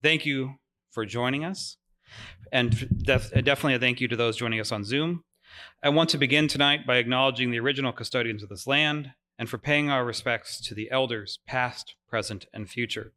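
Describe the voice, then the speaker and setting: Bright-voiced man introducing a public lecture. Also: medium pitch, brisk. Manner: friendly, informal, with many "um" fillers. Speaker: museum society director introducing a lecture. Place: lecture room in a museum. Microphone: mic over room speakers.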